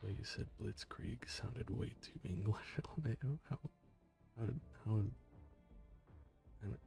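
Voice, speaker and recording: A man streaming a game and chatting with viewers in a low-key, joking way, sometimes dropping to a whisper, close to the mic. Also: a little slow, dark tone, faint music behind. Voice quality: gravelly. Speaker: game streamer reading chat. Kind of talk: casual gaming stream chat.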